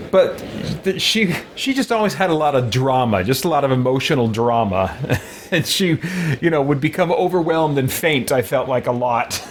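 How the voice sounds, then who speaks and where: A man hosts a let's play in a casual, reflective manner. His middle-pitched voice is halting and conversational, with faint noise behind it. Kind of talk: casual game commentary.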